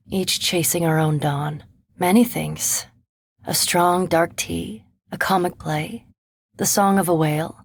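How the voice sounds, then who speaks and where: Video game vampire academic: centuries old, composed, curious, erudite; slightly husky female voice, recorded close and dry. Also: on the low side, no echo. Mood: quiet wonder, then composed, pleasant. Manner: erudite, measured, formal. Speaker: animancy researcher and ship companion in a fantasy video game. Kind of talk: video game character dialogue.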